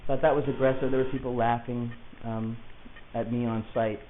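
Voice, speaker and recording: A man playing an agitated, rambling client who insists he is being targeted, talking in a halting, digressive, self-justifying way in a naturalistic audio drama. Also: medium pitch, flat delivery, lightly acted. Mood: agitated, aggrieved. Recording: hissy, tinny phone-like lo-fi recording.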